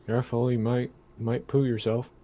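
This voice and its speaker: A man's voice: medium pitch, little pitch movement, moderate pace, dark tone. A man playing a tabletop game online, chatting casually and musing aloud as he works out his moves.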